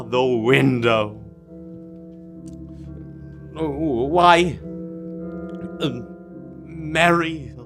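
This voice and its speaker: Young man as exclamatory, high-voiced old miser reborn. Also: sharp tone, exaggerated voice. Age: teenager. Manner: exclamatory, theatrical. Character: old reformed miser, giddy with joy. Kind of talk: old-time radio drama acting. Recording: live radio play with music.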